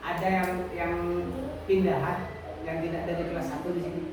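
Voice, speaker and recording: A man's voice: fairly high pitch, little pitch movement, fairly quick. A male primary school teacher leading a class interactively, often putting questions to the pupils. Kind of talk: classroom teaching and story read-aloud. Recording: distant, echoey, noisy classroom recording.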